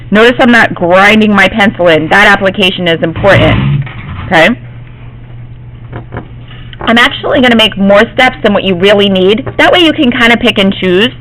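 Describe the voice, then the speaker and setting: A woman art teacher, slightly hoarse from losing her voice, gives a classroom demonstration and often checks in with her students. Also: medium pitch, fairly bright tone, low-quality recording. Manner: reassuring, checks in with "okay?".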